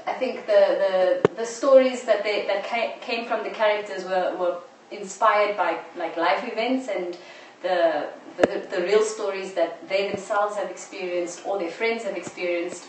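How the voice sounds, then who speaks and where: A woman's voice: mid-range voice, steady tone, unhurried. A woman explaining her work as a drama producer in an interview. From South Africa. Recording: echoey, distant live stream, faint noise.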